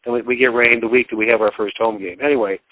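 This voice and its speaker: A man giving casual sports commentary as an interviewed broadcaster, in a halting, rambling, folksy way. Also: medium pitch, fairly even intonation, narrow, tinny audio, slight background noise.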